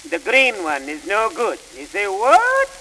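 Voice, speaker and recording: An actor playing an excitable Italian immigrant fruit seller who complains earnestly to the judge in broken English. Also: male voice, sits high, normal speed, heavily acted. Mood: complaining. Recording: hissy, echoey old record.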